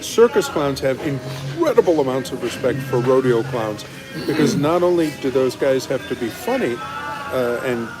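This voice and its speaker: A middle-aged man talking casually, somewhat haltingly, repeating words as he explains things. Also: medium pitch, expressive. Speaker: former circus clown. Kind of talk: casual chat. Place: indoors in a restaurant. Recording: music playing behind, busy restaurant. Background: music.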